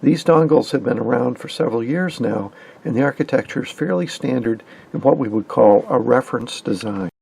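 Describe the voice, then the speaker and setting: A man's voice: mid-range voice, a little fast, vocal fry, darkish tone, husky. A man in his fifties giving an informal technical explanation as a hobbyist host. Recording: faint background noise.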